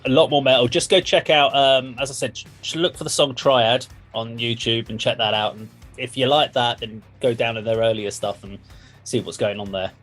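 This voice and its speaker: A man's voice: mid-range voice, fairly even intonation, moderate pace, a bit bright. A man hosting a casual music discussion, explaining things in an informal, sweary way. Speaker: music reaction show host.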